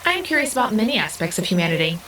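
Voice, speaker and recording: A woman's voice: mid-range voice, very animated, racing, a bit bright, rough recording. A woman in an audio drama playing a curious, naive part-human being with formal, literal speech. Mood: curious, matter-of-fact. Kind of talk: scripted audio drama acting. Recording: rain-like background noise.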